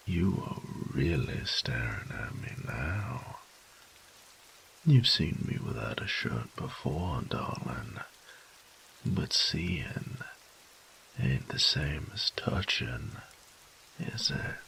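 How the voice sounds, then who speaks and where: A man playing a flirtatious, doting roommate and lover in an intimate ASMR roleplay, with a teasing, folksy drawl and breathy, whispery moments. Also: lively intonation, drawn-out words, long pauses, a bit bright, slight background noise, full character voice, low-quality recording. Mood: teasing, tender. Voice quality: very deep, gravelly, breathy whispers.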